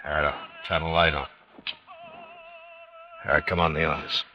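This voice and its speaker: An American man playing a gruff, remorseful informant in hiding in a 1950s radio crime drama, speaking plainly. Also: very deep voice, little pitch movement, moderate pace, pushed and bright, soft background music. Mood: wary, subdued. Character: cornered, remorseful tough male syndicate informant in hiding. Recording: muffled old radio recording.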